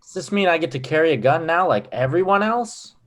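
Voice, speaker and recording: A man's voice: a little high, expressive, brisk, natural voice. A man playing an eager, proud young boy in a comic table read, with childlike eagerness.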